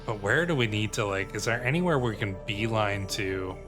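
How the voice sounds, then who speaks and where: A man's voice: on the low side, lively intonation, normal speed. A man giving casual let's-play commentary as a gaming host, thinking aloud in rambling questions and reading out game text. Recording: music playing behind the voice. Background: game music.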